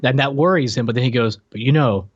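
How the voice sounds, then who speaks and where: A man co-hosting a podcast, chatting casually with halting, repetitive, slangy phrasing. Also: mid-range voice, dry recording, dull audio. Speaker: podcast co-host. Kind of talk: casual chat about a reality TV show.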